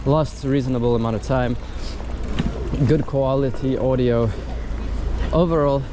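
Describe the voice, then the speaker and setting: A man's voice: medium pitch, steady tone, measured pace. A man giving a casual, unscripted product review in an informal, thinking-aloud way, recorded outdoors on a city street. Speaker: gadget reviewer and vlogger. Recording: noisy outdoor street with traffic ambience. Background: urban traffic and street noise.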